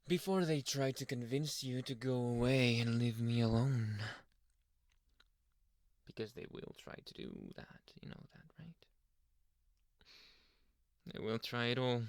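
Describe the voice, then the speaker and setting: A man voicing a devoted, possessive young alpha werewolf boyfriend in an intimate anime ASMR roleplay, breathy and often dropping to a whisper. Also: medium pitch, lively intonation, no echo, studio quality. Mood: protective, adoring. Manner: slow, sighing, soft murmured endearments. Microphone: close microphone, dry.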